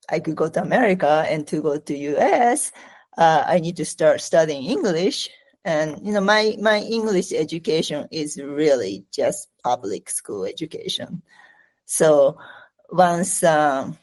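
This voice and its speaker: A middle-aged Japanese woman with a Japanese accent, answering interview questions in a slow, halting way with many fillers. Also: low-pitched, a little mellow. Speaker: guest.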